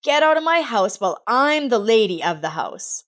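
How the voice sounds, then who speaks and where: Woman narrator as elderly grandmother, proud, hurt, quick to anger, audio drama story. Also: on the high side, sing-song, a bit bright, pitched above the performer's own voice. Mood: furious. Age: older.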